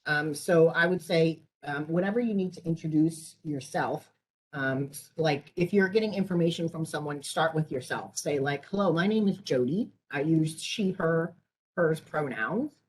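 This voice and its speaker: A woman's voice: deep voice, normal speed, a little hiss or hum, rough recording. A woman trainer on a conference call, explaining and answering questions informally.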